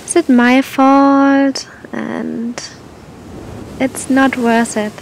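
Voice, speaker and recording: Woman, meditation guide, high voice, very slow pace, gentle and soothing, long pauses. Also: flat delivery, gravelly, rough recording. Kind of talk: guided meditation, spoken softly to the listener. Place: outdoors on a beach. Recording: outdoor recording with low rumbling noise.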